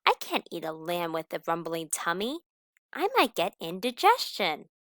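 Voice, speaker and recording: A woman's voice: on the low side, sing-song, moderate pace, a bit bright, no room sound, clean studio sound. Woman voicing a dramatic, grumbly old storybook wolf. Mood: hungry, fussy. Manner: dramatic, groaning and howling exclamations. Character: grumbly old male wolf, soft-hearted and exasperated.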